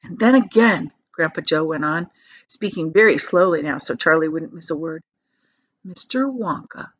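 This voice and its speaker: A woman reading a children's story aloud as an expressive narrator, phrasing it deliberately. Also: medium pitch, husky. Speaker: storybook reader, teacher. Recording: slightly dull, lo-fi sound.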